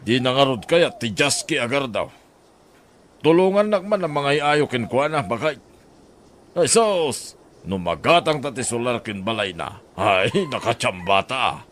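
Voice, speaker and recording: An actor with an Ilocano accent playing a scheming, greedy middle-aged husband in a radio drama. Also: male voice, mid-range voice, animated, a little slow, fairly bright tone, slight background noise. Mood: scheming, pushy. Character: scheming greedy husband eyeing a poor neighbour's land.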